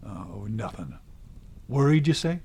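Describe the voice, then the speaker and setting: A man's voice: fairly low pitch, lively intonation, measured pace, vocal fry, a little mellow, breathy edge, exaggerated voice. An actor playing a cranky, world-weary old man in the woods, with a folksy, grumbling manner, in a radio drama. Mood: guarded, terse.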